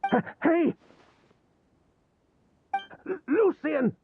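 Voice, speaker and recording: An actor playing a distraught young man in a video game, husky, stammering and close to tears. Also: very high voice, expressive, phone-quality sound, slight background noise, full character voice. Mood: panicked. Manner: stammering, halting. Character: distraught young man pleading over his brother.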